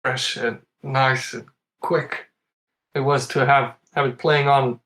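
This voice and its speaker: A man's voice: mid-range voice, little pitch movement, slow, a bit bright. Man from Iceland, casual storytelling, podcast guest, mid-range voice, conversational. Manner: chuckles often while talking. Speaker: podcast guest, competitive retro game player. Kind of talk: casual chat, telling stories.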